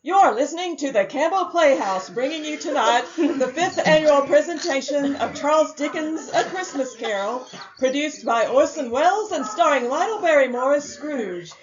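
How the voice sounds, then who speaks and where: A woman's voice: high voice, lively intonation, normal speed, a crowd in the background. A woman reading scripted vintage-style radio announcements between acts of a drama. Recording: dull lo-fi audio.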